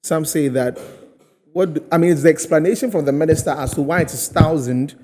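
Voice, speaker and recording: A man with a Ghanaian accent hosts a studio discussion. His voice sits a little high for a man and has a warm, relaxed tone, with phrases built up haltingly. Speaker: TV host. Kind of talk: conversational studio interview.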